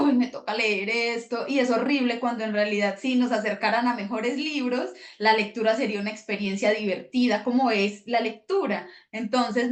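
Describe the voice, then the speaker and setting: Echoey woman hosting a book talk. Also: a little high. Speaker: host.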